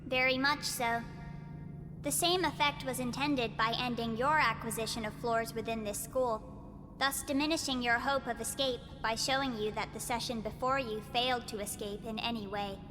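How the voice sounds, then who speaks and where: A woman playing a calm, upbeat guide who explains things in a formal, measured way. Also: on the high side, natural voice. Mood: explaining. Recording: long reverb with music behind.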